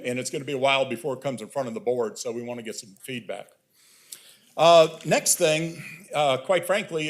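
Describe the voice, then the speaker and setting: Loud plainspoken man chairing a meeting. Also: fairly high pitch. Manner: loud, plainspoken, with frequent fillers. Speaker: board chair. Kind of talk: public meeting remarks. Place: public meeting room. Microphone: table microphone.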